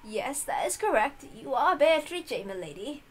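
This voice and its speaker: Gentle, reassuring servant woman, acted by a female reader, polite and measured, raised pitch, music playing behind. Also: very animated, exaggerated voice. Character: kindly female servant, gently reassuring her young mistress.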